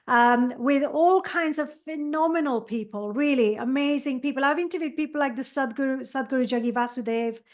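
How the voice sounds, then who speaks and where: A woman's voice: high-pitched, moderate pace, few pauses. A woman who is an author and meditation coach, talking as a guest on a podcast in an enthusiastic, meandering way. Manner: enthusiastic, many fillers and restarts. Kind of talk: interview chat.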